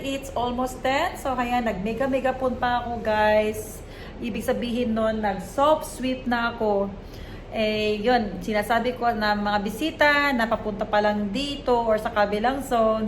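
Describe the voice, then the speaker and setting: A woman's voice: on the high side, fairly even intonation, a little slow, slight background noise, poor audio. A woman with a Filipino accent chatting casually and informally to her viewers as a vlogger. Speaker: vlogger, event info point staff. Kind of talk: casual vlog chat to viewers. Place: outdoors or at an office doorway.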